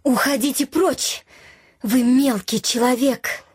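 Haughty young princess, highish husky voice, cutting and impulsive, dry radio drama sound. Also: female voice, fairly even intonation, takes it slowly, darkish tone, dry recording, exaggerated voice. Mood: scornful. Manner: imperious, cutting. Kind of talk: theatrical radio play acting.

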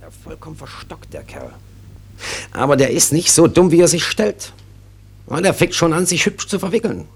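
Casting: male, self-important provincial police sergeant, radio play; gravelly, raised pitch, swooping, sly and wheedling. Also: lively intonation, moderate pace, exaggerated voice. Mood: smug, muttering. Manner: officious, wheedling, self-satisfied. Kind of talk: German radio play acting.

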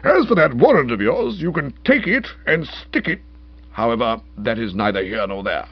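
A man's voice: fairly high pitch, sing-song, a little fast, vocal fry, breathy edge, no room sound, dull audio, full character voice. A man voicing a pompous, blustering older British lord and magistrate who speaks in orotund, long-winded sentences. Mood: outraged.

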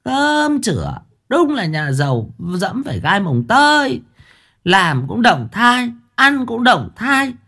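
A man doing a shrill scolding village wife. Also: very animated, unhurried, creaky, fairly bright tone, full character voice. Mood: sneering. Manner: whining, scolding, wailing. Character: sharp-tongued older village wife, sulky.

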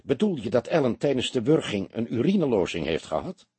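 Puzzled young detective voiced by a man, husky. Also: mid-range voice, big pitch swings, fairly quick, a little mellow, no room sound. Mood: wary, defensive. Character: young male police detective, puzzled, wary junior partner. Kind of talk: audiobook character dialogue.

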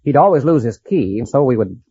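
A man's voice: mid-range voice, very animated, normal speed, warm tone, slightly rough, dry recording. A plain-spoken, somewhat hesitant man in his forties, a quiz contestant on an old radio broadcast. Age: 40s. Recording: vintage radio broadcast, dull, audience murmur.

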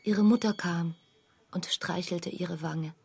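A woman narrating a literary radio play as a quiet storyteller of uncanny events, with a husky, breathy voice. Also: fairly low pitch, fairly even intonation, slow, full character voice. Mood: quiet wonder.

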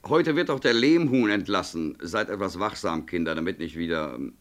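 An actor playing an officious but familiar chief inspector in a radio play, giving brisk instructions as if on the telephone. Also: male voice, low voice, expressive. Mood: officious, cautioning. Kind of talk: German radio play acting.

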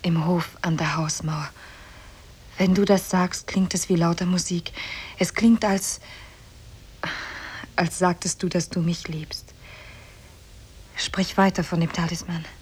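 A sly captive woman in a vintage radio play. Her lowish voice is very breathy and husky, tender and coaxing as she feigns love to her captor. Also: fairly even intonation, takes it slowly, long pauses, a little mellow, heavily acted. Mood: seductive, scheming. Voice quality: breathy, very husky, often whispering. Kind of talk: 1950s German radio drama acting. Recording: old 1950s radio play on cassette.

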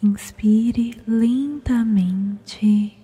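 A woman with a Brazilian accent softly whispers a guided sleep meditation in a slow, soothing, gentle manner. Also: on the high side, fairly even intonation. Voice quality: breathy, whispery. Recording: music behind the voice. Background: meditation music.

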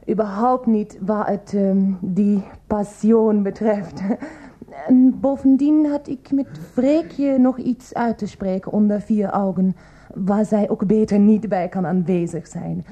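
An actress playing a fussy, affectionate, naive woman with a German accent in a comic radio play, coaxing and gently reproachful. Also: medium pitch, fairly even intonation, takes it slowly, highs cut off.

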